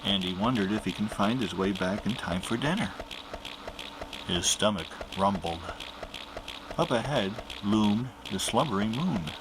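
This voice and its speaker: A man narrating a children's picture book aloud in an animated storytelling manner. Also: fairly low pitch, a little slow, background noise.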